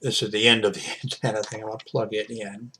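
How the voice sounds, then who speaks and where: Man, 70s, lowish pitch. Hesitant, off-the-cuff hobbyist vlogger with a stop-and-start delivery. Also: flat delivery, sharp tone, a little hiss or hum. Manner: halting, hesitant delivery with mid-sentence pauses. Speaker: radio hobbyist reviewing a portable shortwave radio. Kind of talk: casual unscripted product review vlog. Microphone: webcam microphone.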